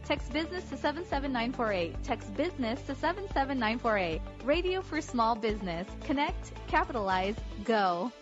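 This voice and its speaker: A woman reading an upbeat scripted radio promo in a bright sales tone. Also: on the high side, big pitch swings, moderate pace, few pauses, lo-fi sound. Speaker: promo announcer. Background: music bed.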